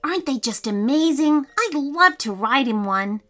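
Lady doing an eager little boy in a kids story, high voice, curious, kinda awed, bouncy. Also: animated, normal speed, a bit bright, soft background music. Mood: wistful, excited. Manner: eager, wondering, questioning. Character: excited, curious young boy, awed and direct.

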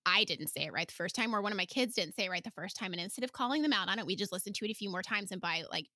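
A woman's voice: mid-range voice, sharp tone, dry recording, clean studio sound. An American woman hosting a podcast, talking in a casual, chatty and informal way. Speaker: podcast host.

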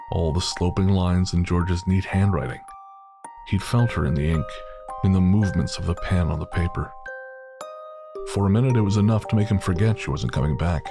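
A man's voice: sits low, moderate pace. A man giving scripted, dramatic narration for an audio story. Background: music, rain and storm sound effects.

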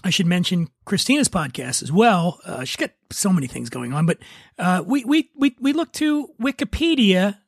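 A man's voice: sits high, very animated, moderate pace, gravelly, no echo, clean studio sound. A man hosting a podcast in casual chat. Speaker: podcast host.

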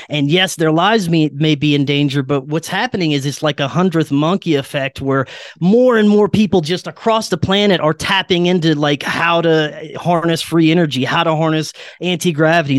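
A man's voice: on the high side, no room sound, studio quality. A man speaking as a podcast guest, explaining his speculative ideas earnestly in a conversational way. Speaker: podcast guest, show host.

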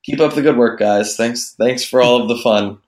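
A man from Boston on a casual podcast, speaking in a lively, self-deprecating way. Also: mid-range voice, moderate pace. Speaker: podcast co-host. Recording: roomy echo.

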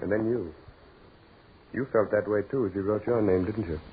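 An actor playing an anxious, urgent man gripped by dread in a 1940s radio horror drama, intense and halting. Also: lowish voice, phone-quality sound, close to an everyday voice. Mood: hushed dread. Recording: noisy, band-limited 1940s radio recording.

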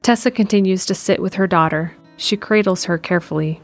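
A woman narrating a scripted audio drama in a measured, descriptive way. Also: medium pitch, vocal fry, faint music behind.

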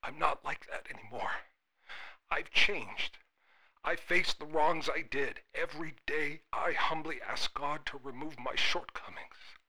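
Old guy full of regret in an audio drama, subdued and halting, slightly husky voice. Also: on the high side, sharp tone, exaggerated voice, low-quality recording. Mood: contrite. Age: older. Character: remorseful dying old man, recovering addict and ex-convict.

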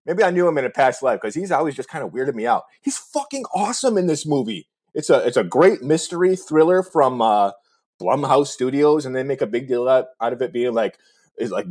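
A man co-hosting a casual podcast, rambling through movie recommendations with lots of swearing and restarts. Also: mid-range voice. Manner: rambling, profane, restarts often. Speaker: podcast co-host.

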